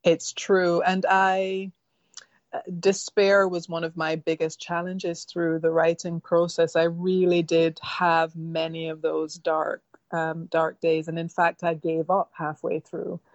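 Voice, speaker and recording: A woman's voice: lowish voice, little pitch movement, no room sound, lo-fi sound. A middle-aged woman from Jamaica with a mixed Jamaican, Caymanian and English accent, a novelist speaking reflectively and measuredly in an interview. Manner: reflective, measured, with filler ums. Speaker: novelist guest. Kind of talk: interview conversation.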